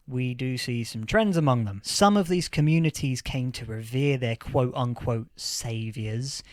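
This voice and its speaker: A man playing a thoughtful, earnest history professor in an audio drama interview, explaining things with halting, deliberate emphasis. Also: fairly high pitch, no echo, natural voice. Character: male history professor of a post-apocalyptic future, thoughtful, earnest. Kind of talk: acted interview, lecturing explanation.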